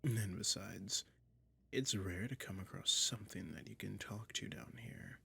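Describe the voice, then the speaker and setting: A man voicing a sly, soothing dragon who toys with a listener in a soft-spoken ASMR roleplay, breathy and whispery with a slow, coaxing delivery. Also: lowish voice, full character voice. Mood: sly, boastful. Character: sly, soothing male dragon lurking in a cave, toying hypnotist.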